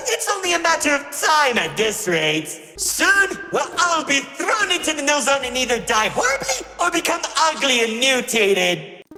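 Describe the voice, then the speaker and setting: High-pitched, hammy theatrical showman villain. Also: sharp tone, full character voice. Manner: hammy, mocking, swinging between grief and sarcasm. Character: theatrical, unhinged, insufferable showman villain in a mask. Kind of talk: melodramatic game villain acting. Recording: echoey hall reverb with music behind.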